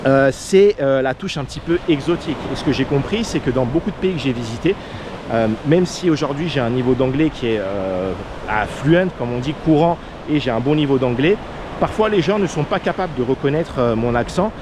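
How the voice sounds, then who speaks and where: A man's voice: medium pitch, expressive, brisk. A man from France with a French accent, giving casual advice as a vlogger in an earnest way, with many fillers. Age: under 40. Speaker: vlogger, expat coach. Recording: outdoor noise of waves and traffic.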